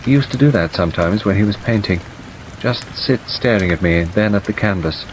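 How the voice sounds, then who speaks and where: A man's voice: lowish voice, brisk, low-quality recording. A man narrating an audiobook in a scripted, dramatic storytelling style, as the narrator of a tale. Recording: steady rain noise over the voice. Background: rain ambience.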